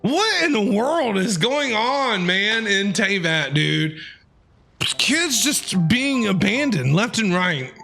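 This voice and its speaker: A man's voice: high voice, takes it slowly, creaky. A man giving casual, exclamatory live reactions as a game streamer. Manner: animated, exclamatory. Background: game music.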